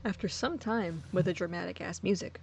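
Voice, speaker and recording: A woman's voice: fairly low pitch, fairly quick, gravelly, a little mellow, slight background noise. A woman streaming a let's play, commenting casually on the game.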